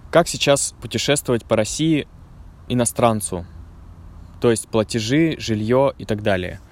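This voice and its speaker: Unhurried man vlogging outdoors in a forest. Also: fairly low pitch. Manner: clear, unhurried, explanatory. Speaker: language teacher vlogger. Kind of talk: casual vlog talk to learners.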